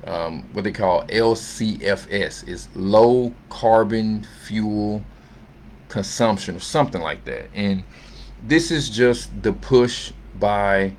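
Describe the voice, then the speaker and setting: Low-voiced man, informal financial commentary. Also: a little mellow. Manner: conversational, halting, seeks agreement with tag questions. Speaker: presenter of an investment earnings summary. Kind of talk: informal unscripted financial commentary over slides. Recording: noticeable background noise.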